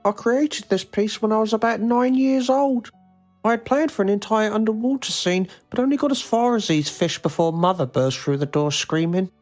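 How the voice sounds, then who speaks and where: A woman's voice: mid-range voice, moderate pace, a little mellow, faint music behind, close to an everyday voice. A woman voicing an odd, lonely grown son in a game, earnest and quaintly formal. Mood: earnest, wistful. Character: odd, lonely, long-confined middle-aged son.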